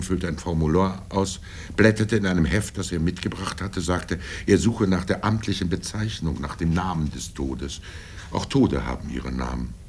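An older man reading literary first-person prose in a grave, deliberate manner with dramatic pauses. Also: a little mellow. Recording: low rumble and background noise.

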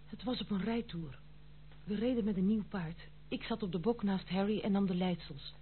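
An actress playing a dutiful, stern but kind granddaughter in an old Dutch radio play, speaking formally and gravely. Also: female voice, mid-range voice, normal speed, close to an everyday voice. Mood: remorseful. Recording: muffled phone-like old radio recording, faint hum.

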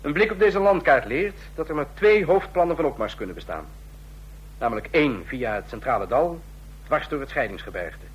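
An actor in a radio play playing a stern, condescending ambassador of a fascist state, speaking in formal, measured officialese. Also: male voice, on the high side, sing-song, rough recording. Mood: businesslike, commanding. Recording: clear hum and noise, old radio recording.

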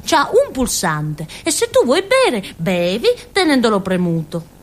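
High, swooping, childlike village fool. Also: female voice, huge pitch swings, measured pace. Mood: explaining, childlike. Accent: southern Italian. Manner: rambling, giggly, with frequent interjections and tag questions. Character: childlike, chatty, naive village fool woman in Calabria. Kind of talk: theatrical comic monologue.

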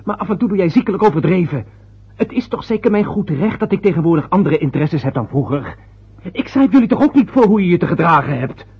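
Defensive grown son, curt, breathy voice, lo-fi radio drama. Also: male voice, high-pitched, lively intonation, quick pace, exaggerated voice. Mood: exasperated. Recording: dull lo-fi old radio recording.

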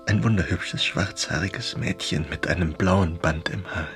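An actor playing a melancholy, haunted writer confiding in a friend by letter in a German radio drama, intimate and brooding. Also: male voice, low voice, fairly bright tone, exaggerated voice.

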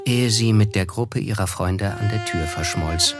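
An actor playing a reflective, reserved young man who narrates his past in measured literary German for a radio play. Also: fairly low pitch, loud music behind the voice.

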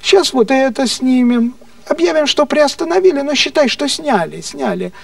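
Мужчина изображает слегка пьяного советского партийного начальника, который покровительственно и насмешливо угрожает, повторяясь и переспрашивая. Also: male voice, extremely high pitch, animated, normal speed, creaky, no echo, recorded from a distance, pitched above the performer's own voice, full character voice. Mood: mocking reproach. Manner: patronizing, repetitive, insinuating questions. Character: menacing, mocking Soviet party official, slightly drunk.

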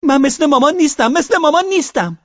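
A man voicing a frightened, desperate young girl, high and pleading, near tears. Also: animated, mellow, husky, no room sound, muffled recording.

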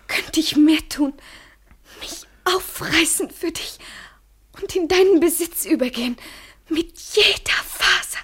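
Radio play lover: very high voice, drawn-out delivery, anguished devotion, overwrought and theatrical. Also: female voice, a bit bright, in a whisper, heavily acted. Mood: yearning, surrendering. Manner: theatrical, emotionally overwrought, breathy at times. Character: surrendering, devoted female lover, fervent and anguished. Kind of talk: literary radio play acting.

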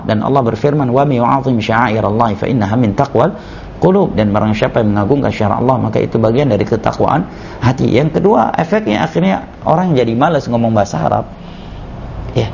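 A man, an Islamic teacher, giving a measured religious study lecture that is punctuated with "ya" and "nah.". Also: mid-range voice, animated, creaky, a little mellow, slight background noise. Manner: measured, repeats "ya" and "nah" as he explains. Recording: muffled lo-fi lecture recording.

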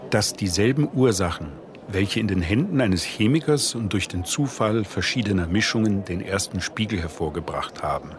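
A man with a fairly low voice narrates a radio drama. He recites dense literary prose in a solemn, measured manner, as scripted reading. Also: a little hiss or hum.

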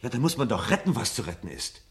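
A man's voice: on the low side, wildly swooping pitch, fast talker. An actor playing a troubled married man searching for answers in a German radio drama, hesitant and earnest in his delivery. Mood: earnest, questioning.

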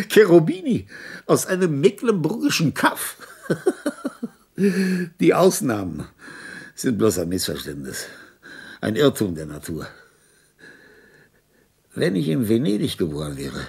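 An actor playing a dying old composer in a radio drama, bitter and grandiose, with a frail, gravelly voice and halting rants that break into cackles and whispers. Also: male voice, on the high side, a little mellow, exaggerated voice. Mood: mocking, gleeful. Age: older.

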